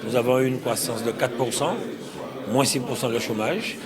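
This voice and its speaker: Confident regional official, crowd behind. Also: male voice, medium pitch, normal speed. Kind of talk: press statement. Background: crowd chatter.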